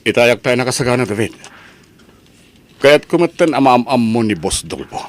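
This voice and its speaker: A man's voice: medium pitch, animated, moderate pace, natural voice. A man acting an earnest, restless son who wants work, in an emphatic radio-style drama manner. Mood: eager, earnest.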